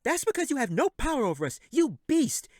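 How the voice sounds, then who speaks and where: A tiny female fairy played by a man, very high and wildly swooping in pitch. She is shrill, indignant and fiery, scolding like a storybook creature. The sound is dry and close. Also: huge pitch swings, brisk, no room sound, exaggerated voice. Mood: outraged, defiant. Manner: indignant, old-fashioned scolding.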